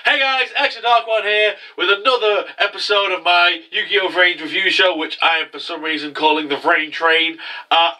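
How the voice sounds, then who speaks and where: Young male host, congested, crude and jokey, slightly high voice. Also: steady tone, normal speed, bright, forceful tone, far from the mic, faint music behind. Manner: profane, rambling, jokey. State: congested from a cold. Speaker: anime review host. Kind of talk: casual recap commentary.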